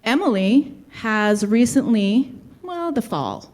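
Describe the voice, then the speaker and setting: A woman's voice: a little high, lively intonation, slow pace, a little mellow. A woman with a light Boston accent hosting a live podcast show in a brewery, talking in a chatty, easygoing way. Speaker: podcast host.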